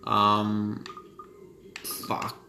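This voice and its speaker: Guy reading a visual novel out loud for his channel, casual, trips over words, echoey room, faint hum. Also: fairly low pitch, completely flat delivery, extremely slow, gravelly. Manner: casual, stumbling, swears offhand. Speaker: gaming channel host.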